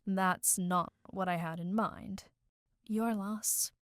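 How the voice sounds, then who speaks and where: A woman's voice: medium pitch, fairly even intonation, takes it slowly, dry recording, clean studio sound, natural voice. A woman voicing a teasing, flirtatious cat burglar.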